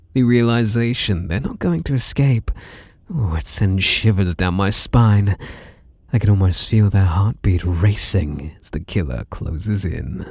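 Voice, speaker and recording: A man's voice: on the low side, a little mellow, breathy edge, no room sound, full character voice. A British man playing a sadistic, obsessive masked killer in an ASMR roleplay, teasing and menacing with mock tenderness and whispered words. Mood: teasing menace.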